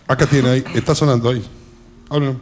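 A man's voice: medium pitch, fairly even intonation, fast, a little mellow, slight background noise, natural voice. A man playing a deferential, hesitant Argentine henchman to a political boss in a satirical radio comedy sketch. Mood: dutiful.

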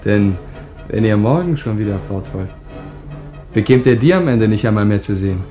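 An actor playing a jovial, sly young village farmer in a radio drama, hearty and knowing as a host to strangers. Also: male voice, on the low side, expressive, normal speed, mellow, faint music behind, close to an everyday voice. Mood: sly amusement. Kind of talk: German radio drama acting.

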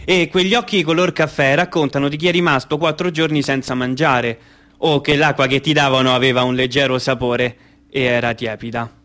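An actor playing a grave, sorrowful witness to horror, speaking a measured, solemn poetic monologue in a radio play. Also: male voice, medium pitch, steady tone, fairly bright tone, natural voice. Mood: grave, mournful.

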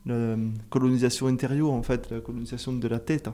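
Halting, mellow-voiced man. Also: medium pitch, little pitch movement. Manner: hesitant, halting, many fillers. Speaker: radio show guest. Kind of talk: casual radio discussion.

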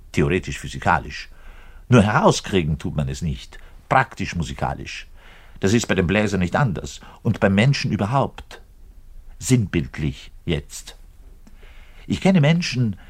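An actor playing a self-important, frustrated orchestral double bass player in a radio monologue, pedantic, rambling and emphatic, with a husky voice. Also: male voice, exaggerated voice. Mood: explaining, intense. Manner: pedantic, rambling, emphatic, shifting to muttered asides. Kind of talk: theatrical monologue addressed to a listener.

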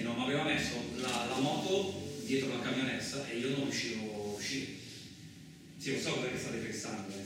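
A man's voice: medium pitch, close to an everyday voice. A male actor playing a boastful, rambling truck driver with touchy pride in a chatty, self-justifying radio monologue. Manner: chatty, self-justifying, punctuated with rhetorical asides. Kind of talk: theatrical radio monologue, colloquial storytelling. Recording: very echoey, distant, clearly noisy recording.

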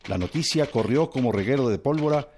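A man's voice: medium pitch, animated, fairly quick, a little mellow, slight background noise, close to an everyday voice. An actor playing an old-time newsman, reading political news formally in a radio drama. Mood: formal, newsy.

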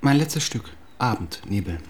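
An actor playing an insecure, brooding playwright husband in a naturalistic radio play, speaking haltingly and probing with wounded repetition. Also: male voice, medium pitch, lively intonation, lightly acted. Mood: anxious, probing. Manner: halting, probing, repeats words back accusingly.

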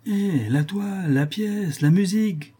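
A man's voice: mid-range voice, animated, moderate pace, warm tone, breathy edge, pitched above the performer's own voice. A man voicing a mocking, gleeful young street boy who taunts and jeers like a theatre heckler in a storybook reading. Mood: jeering.

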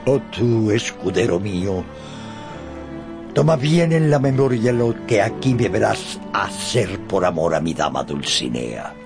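An actor playing a deluded, love-struck old knight errant with pompous, flowery declamation in a radio drama. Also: male voice, lowish voice, big pitch swings, measured pace. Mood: commanding, fervent. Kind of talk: Spanish-language radio drama acting. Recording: studio radio drama with background music.

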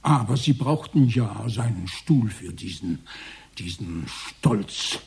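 An actor playing an embittered, grieving old former mayor in a radio crime drama, speaking in a grandiose, lamenting, declamatory way. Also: male voice, fairly low pitch, lively intonation, takes it slowly, vocal fry, darkish tone, slightly rough, full character voice. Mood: bitter grief. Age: older.

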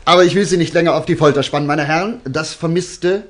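An actor playing a self-assured male detective in a German radio drama, teasing out his revelations with deliberate, suspenseful storytelling. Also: on the high side, lightly acted. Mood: teasing, triumphant.

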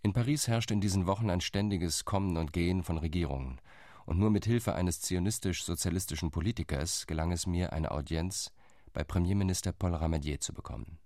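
A man's voice: sits low, fairly quick, a little mellow, no room sound, clean studio sound, close to an everyday voice. An actor playing a shrewd, ironic male political envoy in a radio play, reporting his lobbying rounds.

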